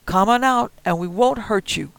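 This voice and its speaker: A man voicing a greedy, menacing older man who holds a shotgun, with a gruff, threatening drawl. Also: high voice, moderate pace, no echo, voice pushed up.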